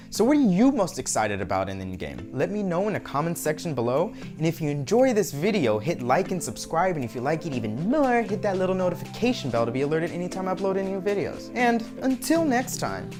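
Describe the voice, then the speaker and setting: A man in his thirties giving an enthusiastic, emphatic movie review to camera as a video reviewer. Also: high voice. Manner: animated, emphatic. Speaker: film reviewer. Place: furnished room at home. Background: background music.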